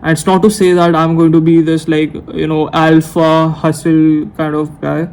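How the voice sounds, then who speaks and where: Brief: young man around nineteen, unscripted late-night vlog, rambling with restarts, monotone, slightly raised pitch. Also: normal speed, a little hiss or hum, rough recording. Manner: rambling, hesitant, self-correcting. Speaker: YouTuber. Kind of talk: unscripted talk to camera. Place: room at night. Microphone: camera mic.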